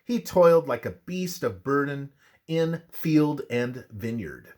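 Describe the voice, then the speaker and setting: A man reading a scripted religious devotional aloud in a measured, deliberate manner. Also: medium pitch, expressive, darkish tone. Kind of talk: scripted narration of a Bible study, read aloud.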